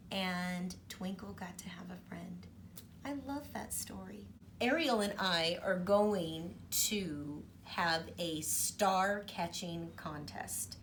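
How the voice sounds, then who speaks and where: A woman's voice: medium pitch, a little hiss or hum. A middle-aged woman hosting children's storytime, reading a picture book aloud and chatting cheerfully with kids.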